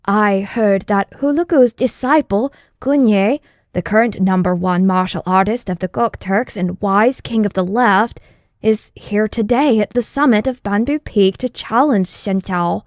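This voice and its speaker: A woman's voice: medium pitch, measured pace, no room sound, studio quality, close to an everyday voice. A woman reading an audiobook, voicing a respectful, earnest young male disciple who explains things deferentially. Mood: respectful, eager. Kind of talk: audiobook character dialogue.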